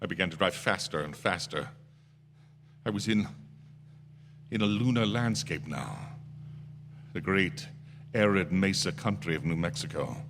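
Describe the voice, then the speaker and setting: An actor playing a desperate young male motorist unravelling with dread, in halting, theatrical radio suspense style. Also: low-pitched, fairly bright tone, slight background noise. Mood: haunted, despairing. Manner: intense, halting, stammering. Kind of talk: theatrical radio suspense drama acting and narration. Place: theatre stage. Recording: live theatre stage, long hall reverb, music.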